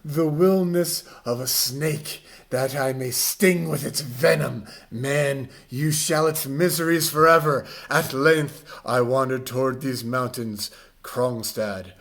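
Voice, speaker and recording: A man giving a mock-dramatic reading, with overwrought theatrical delivery that swings between hushed and loud. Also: medium pitch, takes it slowly, vocal fry.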